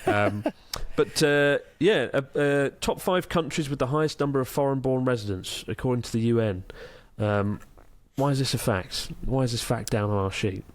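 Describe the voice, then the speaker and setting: A man's voice: medium pitch, normal speed, hardly any pauses, dry recording. A man, co-hosting a casual podcast in a rambling, sardonic way. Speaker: podcast co-host.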